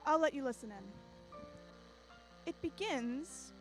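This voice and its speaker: Woman host, high voice, scripted narration, theatrical storytelling, light music behind. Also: animated, slow, rough recording. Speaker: host of radio drama anthology.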